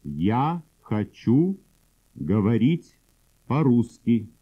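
Male drill voice, painfully slow and clear, lo-fi phone-like cassette. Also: mid-range voice, sing-song. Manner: very slow and deliberate, word by word. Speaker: language instructor. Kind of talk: slow drill sentences for repetition. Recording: husky, phone-like old cassette audio.

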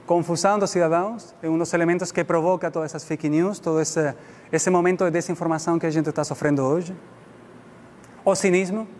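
A man's voice: high voice, darkish tone. A middle-aged man from Spain with a Spanish accent, giving an informal, explanatory public lecture in a language that is not his native one. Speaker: guest lecturer. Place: indoor lecture room. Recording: reverberant hall with background noise.

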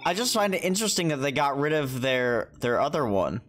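A man's voice: medium pitch, sing-song, brisk, a bit bright. A man doing casual, slangy livestream commentary in a laid-back way, close on the mic. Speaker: game livestreamer. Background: game music.

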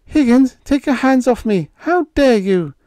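A man doing a high, indignant young woman. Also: huge pitch swings, unhurried, warm tone, dry recording, clean studio sound, exaggerated voice. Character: young woman, blind and proud, then frightened.

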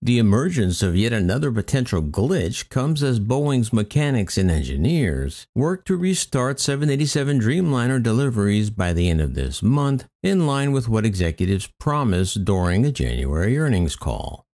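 A man's voice: mid-range voice, sing-song, normal speed, hardly any pauses, a little mellow. A man narrating aviation news from a script, reading reports aloud with brief comments of his own. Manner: reads news reports aloud with occasional asides. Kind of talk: scripted news narration read over footage.

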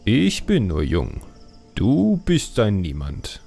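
A man's voice: fairly low pitch, huge pitch swings, slow pace, creaky, mellow, soft background music, full character voice. A man voicing an earnest, brooding young male troll who wants to be a shadow hunter, grave and resolute, in a fantasy audiobook. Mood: teasing.

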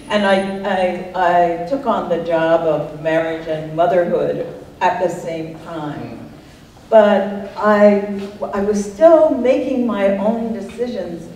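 Unhurried older woman in an echoey hall. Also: on the low side, warm tone. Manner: unhurried, reflective, laughs often. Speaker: panel guest, writer. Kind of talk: panel discussion answering audience. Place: art center talk room, audience present. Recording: echoey room, distant mic, faint noise.

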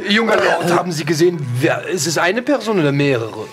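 A man's voice: on the high side, lively intonation, fairly quick, a bit bright, faint music behind. A man playing a haughty, gloomy French surgeon with a pompous, formal manner.

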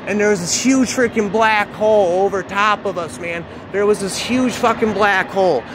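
A man telling a dark first-person story off the cuff in an agitated, repetitive, swearing, slangy way. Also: sits high, moderate pace. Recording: noisy outdoor recording with traffic ambience.

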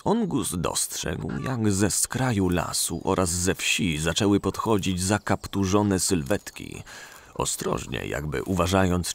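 A man reading scripted narration for a fantasy audio drama. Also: low voice.

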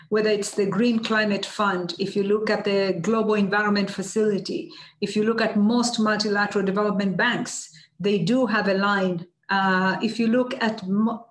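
A middle-aged woman answering seminar questions as an official, in a measured, hesitant way on a video call. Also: mid-range voice. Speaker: UN environment official, guest speaker. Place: indoors before banners, online video call. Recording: echoey room on video call.

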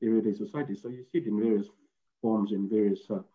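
A man's voice: on the low side, fairly even intonation. Man from Fiji on a tinny call. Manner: halting, with many fillers and restarts. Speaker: guest. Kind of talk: explaining casually on a video call. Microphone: video call. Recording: tinny video-call audio.

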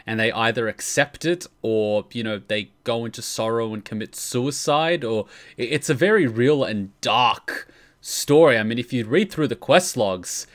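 A man's voice: mid-range voice, moderate pace. A man casually explaining game lore on a livestream, swearing freely as he goes. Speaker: streamer and lore commentator. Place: indoor room at a streaming setup.

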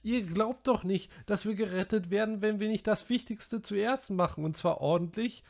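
Man reading aloud as anxious chubby schoolboy, high and whiny, audiobook. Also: lively intonation, moderate pace, vocal fry. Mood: indignant. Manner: high, whiny and breathless pleading.

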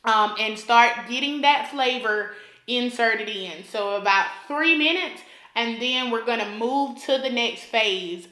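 A woman's voice: on the high side, sharp tone, roomy sound. A woman from Alabama hosting a cooking tutorial, talking the viewer through each step and repeating points for emphasis. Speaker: cooking channel host.

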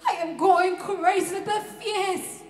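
Actress as an anguished, high-voiced pleading lover. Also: female voice, fairly even intonation. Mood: anguished, breaking down. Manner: theatrical, halting, swinging from hushed to loud. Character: anguished, emotionally starved woman pleading with her male lover. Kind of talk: emotional dramatic audition monologue. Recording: roomy echo with faint music behind.

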